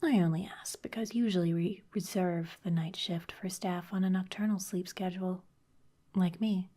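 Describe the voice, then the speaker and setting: A woman voicing a sly, intimidating female vampire executive in a close, breathy ASMR whisper, polished and teasing. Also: lowish voice, fairly even intonation, normal speed, dark tone, close to an everyday voice. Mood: smooth, managerial. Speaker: ASMR performer.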